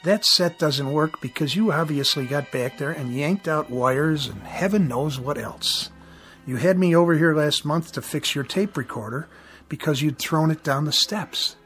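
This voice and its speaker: A man's voice: fairly high pitch, normal speed, a bit bright, soft background music, natural voice. An actor playing a patient working-class TV repairman in a radio drama, talking in a blunt, folksy, colloquial way.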